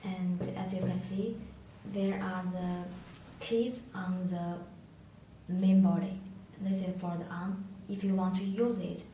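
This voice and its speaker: A woman who is a non-native English speaker, giving an unscripted product tutorial in a halting, hesitant way with searching pauses. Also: lowish voice, flat delivery, warm tone. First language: not English. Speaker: product demonstrator. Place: echoey indoor room, tabletop demonstration. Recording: echoey room, distant mic, faint noise.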